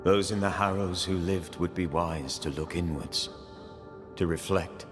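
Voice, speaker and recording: A solemn, moralizing male narrator speaks in a low voice with long reverb. His preacherly, rhetorical delivery carries a dark game story over music. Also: fairly even intonation, a little slow. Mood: solemn, foreboding. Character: grave, moralizing male storyteller of a troubled settlement. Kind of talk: scripted dramatic narration.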